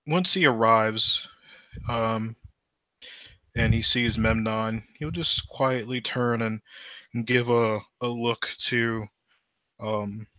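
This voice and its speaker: A man's voice: medium pitch, sharp tone, no echo, dull audio. Hesitant man narrating his tabletop turn. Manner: hesitant, full of fillers. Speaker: tabletop player. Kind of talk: narrating his character's actions.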